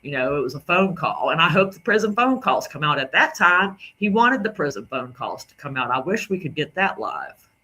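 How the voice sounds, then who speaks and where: Southern drawl guy ranting about clips, kinda high voice, real bright and forceful, keeps repeating himself. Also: big pitch swings, moderate pace, low-quality recording. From Southern United States. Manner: rambling, indignant, repeats himself. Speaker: commentary creator. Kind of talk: casual commentary on clips.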